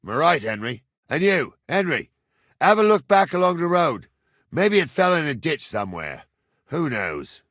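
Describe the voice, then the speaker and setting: An actor playing a gruff, cocky medieval captain in a video game, with blunt, teasing, rustic speech. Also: male voice, on the high side, very animated, moderate pace, a bit bright, no echo, clean studio sound. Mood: stern, matter-of-fact. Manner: colloquial, blunt, teasing, rustic turns of phrase. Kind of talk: video game character dialogue lines.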